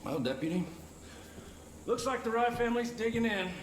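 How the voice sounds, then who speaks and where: An actor playing an excitable, friendly small-town pilot in a video game, talking in an animated, folksy way. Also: male voice, extremely high pitch, moderate pace. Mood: upbeat, friendly. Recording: echoey, reverberant, with background noise.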